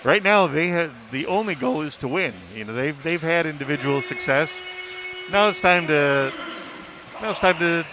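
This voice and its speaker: A man talking sports casually in a somewhat high voice. He stresses points by repeating them. The noise of an arena is clear behind him. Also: expressive, normal speed. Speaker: sports beat writer and commentator. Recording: noisy arena ambience.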